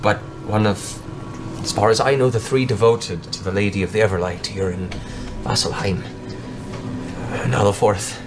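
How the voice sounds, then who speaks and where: A man voicing an older woman, a humble temple devotee, earnest and imploring, with a breathy, halting delivery in a fantasy tabletop game. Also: on the low side, steady tone, background noise, close to an everyday voice. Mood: awed, hopeful.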